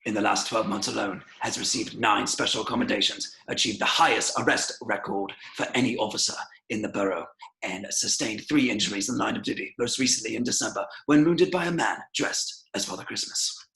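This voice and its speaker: Low gravelly man reading a record. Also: brisk, pushed and bright, poor audio. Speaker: voice-over reader. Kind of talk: reading a formal service record.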